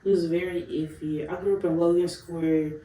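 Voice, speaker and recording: A woman's voice: on the low side, fairly even intonation, moderate pace, warm tone, distant mic, slight background noise. A young woman from Chicago talks candidly as an interview guest, laughing often and cursing without fuss. She sits well back from the microphone in a roomy space with faint ambience behind her. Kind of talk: casual interview chat.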